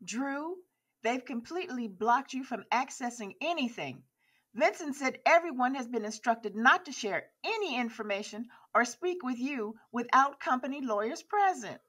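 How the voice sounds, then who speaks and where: A woman's voice: high voice, expressive, normal speed, a bit bright. A woman playing a placating middle-aged girlfriend in an audio drama. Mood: concerned. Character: soothing middle-aged girlfriend of a jailed man.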